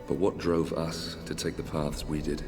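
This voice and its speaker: A very deep brooding Roman soldier narrator. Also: male voice, level tone, moderate pace. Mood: reflective. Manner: reflective, solemn. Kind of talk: scripted narration. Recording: long reverb under music.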